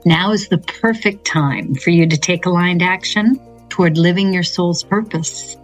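A woman's voice: fairly low pitch, measured pace, faint music behind. A middle-aged woman hosting a podcast, moving from a scripted intro into an enthusiastic, affirming interview chat. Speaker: podcast host.

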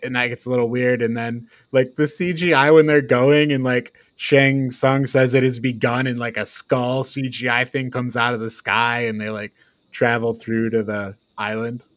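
A man's voice: mid-range voice, little pitch movement, normal speed, fairly bright tone, no echo, clean studio sound. A man talking informally as a panelist in a casual film discussion. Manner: informal, prone to chuckling and swearing. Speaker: podcast panelist reviewing films.

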